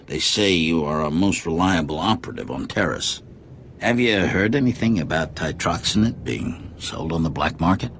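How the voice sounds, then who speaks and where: Deep-voiced, earnest military official. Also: male voice, lively intonation, fairly bright tone, slight background noise. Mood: urgent. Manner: courteous, brisk, serious. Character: earnest, urgent Republic official. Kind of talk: video game dialogue acting.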